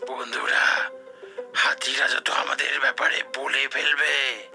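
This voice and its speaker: A man voicing a greedy, gloating hyena in a cartoon fairy tale, with a breathy rasp. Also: medium pitch, steady tone, unhurried, over music. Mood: worried.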